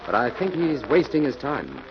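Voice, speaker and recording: A man's voice: medium pitch, sing-song, normal speed. A man playing a confident, articulate amateur detective in a 1940s radio drama. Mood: assured. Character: assured male amateur detective, a cultivated biochemist. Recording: noisy, muffled old radio recording.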